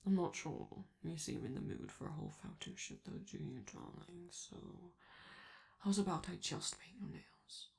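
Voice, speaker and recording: A woman voicing a flamboyant, vain robot star in a scripted ASMR roleplay, theatrical and doting, with teasing endearments and drawn-out words. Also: extremely low pitch, wildly swooping pitch, gravelly, darkish tone, full character voice. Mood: gentle, fussing.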